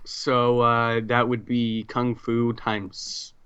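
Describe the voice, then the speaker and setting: A man playing a tabletop game, chatting casually and haltingly as he thinks aloud. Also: medium pitch, monotone, fairly bright tone, smooth.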